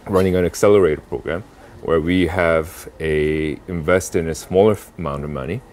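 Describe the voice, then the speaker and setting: A young adult man from South Korea answering interview questions about impact investing, pausing often with fillers and restarts. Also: deep voice, darkish tone. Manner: halting, many fillers and restarts.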